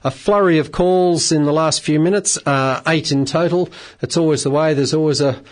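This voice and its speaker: A middle-aged Australian man hosting a radio phone-in legal advice show, measured and polite, with many filler sounds. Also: on the high side. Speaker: radio phone-in host, solicitor. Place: radio studio.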